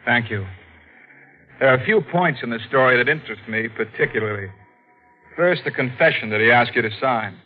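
An actor playing a stern, probing district attorney in a 1940s radio mystery, measured and grave. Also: male voice, medium pitch, lively intonation, close to an everyday voice. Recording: dull old radio broadcast.

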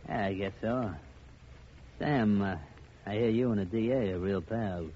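An actor playing a brutal, sardonic, slangy gangster boss in a 1950s radio drama. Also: male voice, fairly low pitch, unhurried. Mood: menacing. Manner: tough, slangy. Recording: muffled old radio recording.

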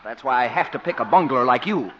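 An actor playing a prissy, sour, condescending boss with a fussy, curt manner in a 1950s radio comedy. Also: male voice, mid-range voice, lively intonation, quick pace, close to an everyday voice. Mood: scornful. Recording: noisy, dull 1950s radio recording.

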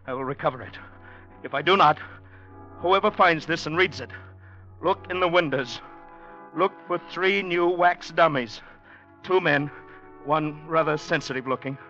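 A sensitive, desperate man in a 1940s radio play. His voice is tense and fairly high, theatrical and urgent, with halting turns. The recording is dull and old, with faint music behind. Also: bright, forceful tone. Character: desperate man hiding in department store, sensitive writer. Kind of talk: 1940s radio drama acting.

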